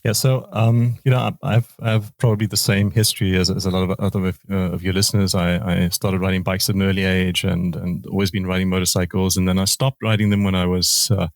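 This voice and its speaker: Interview guest: man in his forties, deep voice, stammering restarts, dry close sound. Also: flat delivery, creaky, no echo. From Austria, raised in South Africa. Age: 40s. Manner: halting, many restarts and repeated words. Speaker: guest, motorcycle rider. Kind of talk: casual interview conversation.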